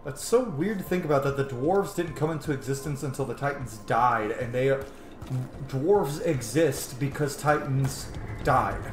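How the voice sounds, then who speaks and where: A man's voice: medium pitch, unhurried, echoey room. A man giving casual, informal gameplay commentary in short, sometimes sweary asides. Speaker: video game streamer. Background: game music.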